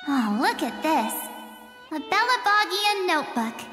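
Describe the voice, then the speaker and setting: A woman's voice: extremely high pitch, very animated, measured pace, heavily acted. A woman voicing a bubbly, chatty young female space traveler in a video game, playful and self-assured. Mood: warm, enthusiastic. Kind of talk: video game character dialogue. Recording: echoey hall reverb under loud string music.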